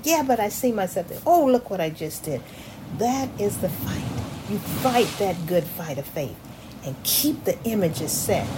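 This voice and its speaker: A woman preaching a sermon with dramatic, drawn-out emphasis on her phrases. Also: on the low side. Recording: noisy recording with background ambience.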